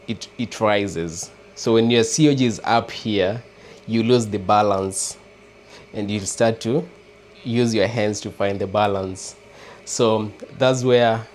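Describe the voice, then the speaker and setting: A man with a Kenyan accent chatting casually with viewers on a livestream, hesitant and halting, often restarting words. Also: fairly low pitch, slight background noise. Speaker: livestream host. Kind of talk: casual live chat with viewers.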